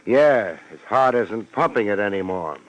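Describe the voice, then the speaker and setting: An actor playing a wry, deadpan male private detective in a 1950s radio drama, on a vintage recording. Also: fairly low pitch, measured pace, low-quality recording. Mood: dry narration. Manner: deadpan, wisecracking.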